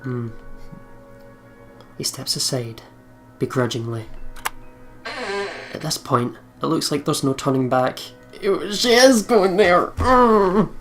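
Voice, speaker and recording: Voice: mid-range voice, animated, slow, pauses often, faint music behind. A let's play host reading game narration aloud dramatically, with casual, sweary commentary.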